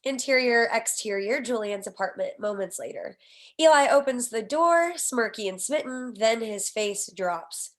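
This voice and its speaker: A woman reading stage directions at a screenplay table read, with an expressive, wry delivery. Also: on the high side, a little slow.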